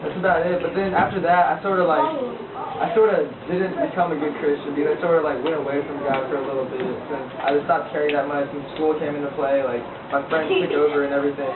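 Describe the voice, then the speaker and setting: A man's voice: little pitch movement, fast. A teenage boy casually sharing his faith testimony with a group of listeners, rambling with lots of filler words and asking them questions. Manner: rambling with frequent likes, asks the listeners questions. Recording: echoey, distant, tinny low-fi recording with a noisy crowd.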